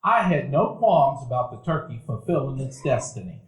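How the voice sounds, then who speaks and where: A man narrating a stage radio play as a grown man recalling his boyhood, in a measured, reflective telling. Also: mid-range voice, big pitch swings, close to an everyday voice. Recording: roomy event hall.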